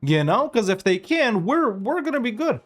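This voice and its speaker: A young man giving casual gameplay commentary, relaxed and chatty, thinking aloud with many fillers. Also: very high-pitched, normal speed, faint music behind. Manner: lively and upbeat when asking viewers to like and subscribe. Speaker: gaming YouTuber.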